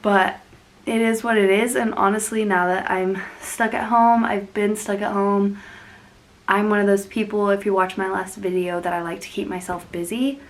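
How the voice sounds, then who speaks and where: A woman's voice: mid-range voice, fairly even intonation, moderate pace. A young woman hosting a casual plant vlog, sniffly and congested from being sick, rambling and laughing often as she talks. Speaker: plant channel host.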